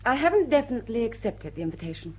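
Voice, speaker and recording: An actress playing a witty society woman with a secret criminal side in a period radio drama, arch, teasing and composed, with a husky voice. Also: on the high side, big pitch swings, very fast, darkish tone, highs cut off. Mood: firm reluctance.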